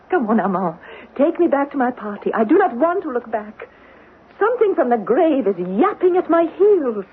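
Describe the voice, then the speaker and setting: A woman playing a wistful, theatrical stage actress haunted by foreboding, in old-time radio drama style with halting, dramatic delivery. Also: high-pitched, sing-song, noisy background. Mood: haunted, fearful. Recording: narrow-band old radio broadcast, faint music.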